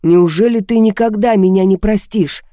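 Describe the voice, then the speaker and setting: A woman's voice: medium pitch, moderate pace, dark tone, no room sound, studio quality, voice pushed up, close to an everyday voice. A woman voicing a volatile, self-pitying husband in an audiobook drama, agitated, accusing and pleading.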